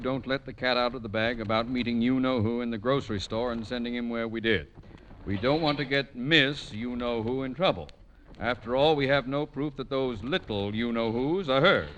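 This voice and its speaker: An actor playing a pompous, stern middle-aged school principal in a 1940s radio comedy, commanding and clipped. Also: male voice, mid-range voice, moderate pace, fairly bright tone, lo-fi sound, natural voice. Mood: suspicious, pompous. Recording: noisy old radio recording with clicks.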